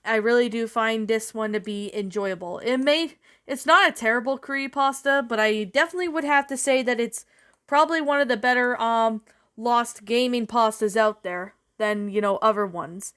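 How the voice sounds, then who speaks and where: Clear, higher-voiced woman chatting casually. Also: moderate pace, a bit bright. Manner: rambling and repetitive. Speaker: creepypasta narrator giving a review. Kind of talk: casual unscripted review chat.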